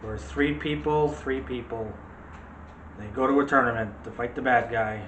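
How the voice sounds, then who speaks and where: A man's voice: medium pitch, moderate pace, fairly bright tone, distant mic. A man hosting a casual movie chat, talking directly to viewers in a chatty way. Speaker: video host. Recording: roomy, noisy, off-mic home recording.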